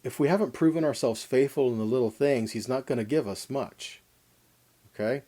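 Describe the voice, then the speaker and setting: A man's voice: medium pitch, normal speed, warm tone. A man teaching a scripture lesson in a sermon style, reading verses with emphasis and putting rhetorical questions to his listeners. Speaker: Bible teacher giving a weekly Torah portion lesson.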